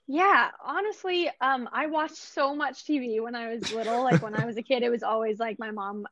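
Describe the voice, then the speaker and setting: A woman's voice: on the high side, moderate pace, a bit bright, dull audio, a little hiss or hum. A woman from Vancouver, an actress, chatting upbeat in an interview, with lots of "like" and restarts. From Canada, Vancouver. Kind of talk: casual interview answers.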